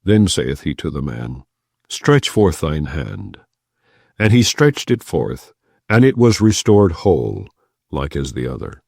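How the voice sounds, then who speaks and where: Male scripture reader, very low and dark voice, lively inflection, solemn and measured, dry close sound. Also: creaky, husky, dry recording. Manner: solemn, measured reading of archaic text. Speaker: Bible reader.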